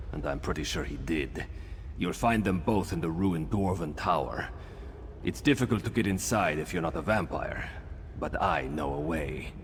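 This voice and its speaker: An actor playing a gruff escaped werewolf, wary but grateful, talking tersely in a video game. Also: male voice, deep voice. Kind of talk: video game quest dialogue. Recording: game audio with long reverb, faint ambient noise.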